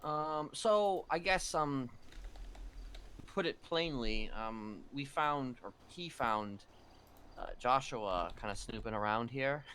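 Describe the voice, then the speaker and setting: A man's voice: mid-range voice, slow, a little hiss or hum. A man chatting casually in character during a roleplay, hesitant and full of fillers. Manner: animated, hesitant, filler-heavy.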